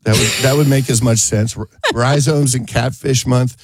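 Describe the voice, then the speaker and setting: A man's voice: medium pitch, fairly even intonation, normal speed, husky, no echo. A man hosting a gardening radio show while getting over a cold, chatting casually and joking between chuckles. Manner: joking, rambling, chuckles often. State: has a cold.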